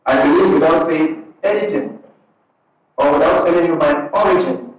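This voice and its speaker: A man with a Ghanaian accent speaks to a gathered crowd as their imam, easygoing and conversational, his thoughts arriving in short halting pieces. His voice sits a little high for a man. He is picked up from far off in a hall that rings with a lot of echo. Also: fairly even intonation. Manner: conversational, unhurried, breaks phrases into short pieces. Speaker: imam and parish missionary. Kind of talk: addressing an audience at a community event.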